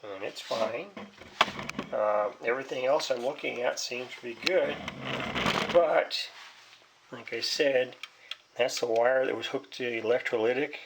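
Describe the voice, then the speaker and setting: A man's voice: medium pitch, a little slow, vocal fry, pauses often, very noisy. A man giving a casual, rambling hands-on repair demonstration, thinking aloud in a hesitant, folksy way. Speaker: hobbyist repairing a vintage radio.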